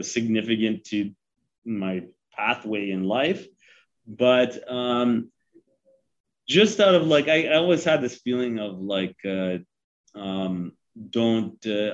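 A man's voice: mid-range voice, muffled recording. A man in his forties, from Sri Lanka, giving advice in a casual interview, talking with many fillers and restarts. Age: 40s. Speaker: guest.

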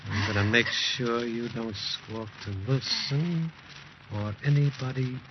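A man acts out a crooked, menacing private eye from 1940s radio crime drama. His mid-pitched voice is slangy and bullying. The old recording is dull, with clear noise. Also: takes it slowly, lightly acted. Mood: cold menace. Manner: slangy, bullying, hard-boiled.

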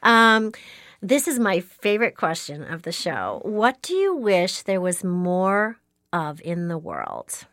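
A woman's voice: lowish voice, big pitch swings, takes it slowly, no room sound, clean studio sound. A woman hosting a podcast interview, encouraging and chatty, with frequent fillers. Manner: warm-voiced, encouraging, with frequent fillers and laughs. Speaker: podcast host. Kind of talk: casual interview chat.

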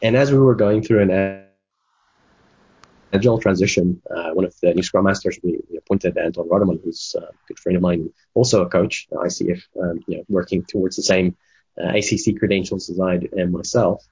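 A man's voice: sits low, fairly even intonation, fairly quick, a little mellow, husky. A man whose first language is Afrikaans, telling his career story in a casual interview with lots of fillers. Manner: rambling storyteller with many fillers. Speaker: guest, game development manager and coach. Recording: dull lo-fi sound.

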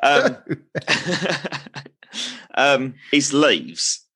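A man's voice: medium pitch, big pitch swings, drawn-out words, bright, forceful tone, breathy edge. A man in his thirties co-hosting a podcast, ranting in exasperation and swearing often. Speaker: podcast co-host. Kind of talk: casual banter.

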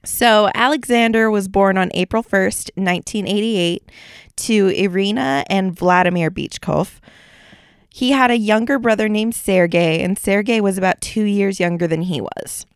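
Smooth-voiced woman, chatty podcast host. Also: mid-range voice, normal speed, dry recording, clean studio sound. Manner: chatty storyteller, recounting a case with asides. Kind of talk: casual true-crime podcast chat.

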